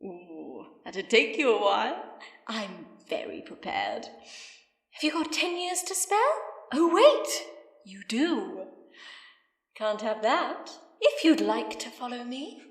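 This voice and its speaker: A gloating British villainess , acted by a woman, in an audio drama. Her voice sits fairly high and rings through a long, echoing hall. She taunts with mock politeness, unhurried and theatrical. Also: big pitch swings, exaggerated voice. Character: gloating female mastermind villain, mocking and unhurried. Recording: echoey hall with long reverb.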